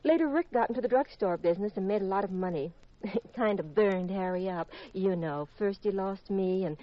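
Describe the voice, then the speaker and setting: Actress as a flirty widow on vintage radio. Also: female voice, mid-range voice, fairly quick, close to an everyday voice. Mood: matter-of-fact. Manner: coy, teasing, unsentimental. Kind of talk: 1950s radio detective drama acting. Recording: dull vintage radio recording.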